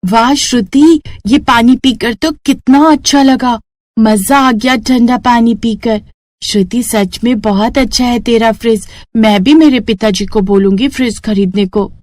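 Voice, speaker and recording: A woman voicing an eager, pleading ten-year-old village girl in a children's story, excitable and insistent. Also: a little high, unhurried, no echo, clean studio sound, natural voice. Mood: delighted. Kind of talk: children's moral story acting.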